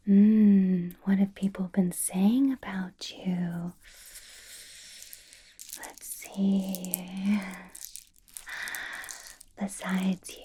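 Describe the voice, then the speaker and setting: A woman playing a possessive, seductive vampire boss in an ASMR roleplay, politely menacing in a soft whisper with deliberate, coaxing phrasing. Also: medium pitch, husky, heavily acted. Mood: soothing, pleased. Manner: slow, deliberate, coaxing, with lingering pauses. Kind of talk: ASMR roleplay, personal attention.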